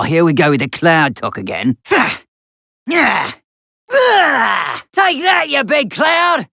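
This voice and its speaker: Paranoid hermit creature for a video game: high, cartoonish, swinging pitch, folksy and cranky grumbling. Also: male voice, no room sound, clean studio sound, heavily acted. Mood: grumpy, then combative. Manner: folksy, grumbling, excitable rustic speech. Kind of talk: video game character voice lines.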